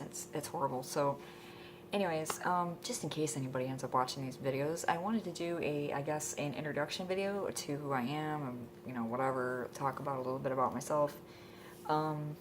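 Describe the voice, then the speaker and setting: Late-20s woman, stuffed up with a cold, low voice, lots of um, bit of faint hiss behind. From Michigan. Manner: rambling, hesitant, full of ums. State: congested from a cold. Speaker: vlogger. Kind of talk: casual introduction vlog. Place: indoors at home.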